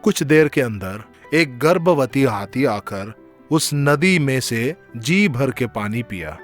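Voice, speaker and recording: Male storyteller for a children's moral tale, mid-pitched, music underneath. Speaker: storyteller narrator. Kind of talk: scripted children's story narration.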